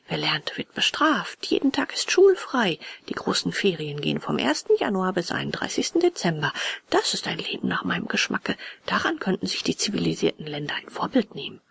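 A woman acts out a sly schoolboy tempter from a storybook. The voice is husky and drops into a breathy, gravelly murmur. This cheeky, lazy lad coaxes and scoffs with a dismissive drawl. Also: fairly high pitch, dry recording, voice pushed up, full character voice. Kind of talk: storybook character dialogue.

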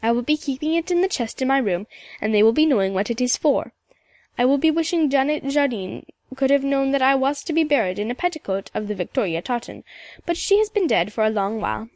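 A woman's voice: high voice, lively intonation, a little fast, a little mellow, no echo, studio quality. A woman voicing a composed, proud, sorrowful elderly woman in an audiobook, with lilting old-country phrasing and a habit of tagging on "oh yes". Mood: dignified, gratified. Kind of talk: audiobook character dialogue.

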